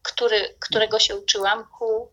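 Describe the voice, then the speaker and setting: A woman's voice: a little high, level tone, a little fast, fairly bright tone, highs cut off. A woman with a Polish accent, a native Polish speaker, hesitantly searching for her words in a casual conversation practice, with many fillers. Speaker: language learner.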